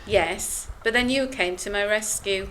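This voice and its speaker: A woman playing Lily, an earnest, reserved middle-aged woman who speaks in a slow, subdued, defensive way in an audio drama. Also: mid-range voice, a bit bright, a little hiss or hum, close to an everyday voice, poor audio. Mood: withdrawn.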